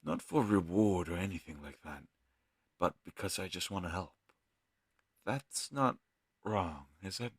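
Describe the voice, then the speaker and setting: A man playing an earnest, kind fantasy healer in an audio roleplay, speaking in a gentle, hesitant, slightly husky voice. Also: deep voice, lively intonation, measured pace, pauses often, no echo, clean studio sound, full character voice. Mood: sincere, apologetic. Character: earnest, kind young male fantasy healer, self-doubting.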